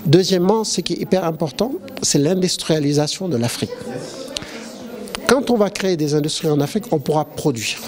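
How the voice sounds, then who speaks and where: Man, methodical explainer, points listed in order, slightly high pitch. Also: expressive, fairly quick, a crowd in the background. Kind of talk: explanatory statement.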